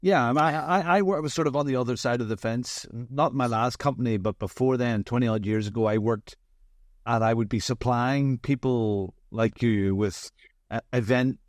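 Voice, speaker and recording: A man's voice: medium pitch, moderate pace, dry recording, studio quality. A middle-aged man with a Northern Irish accent hosts a casual interview in a chatty, jokey manner. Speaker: interview host. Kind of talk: casual online chat interview.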